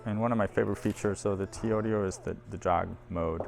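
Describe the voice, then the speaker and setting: A man's voice: fairly low pitch, fairly even intonation, quick pace, gravelly, over music. A man presenting audio equipment in a casual hands-on demo, informal and thinking aloud as he handles the machine.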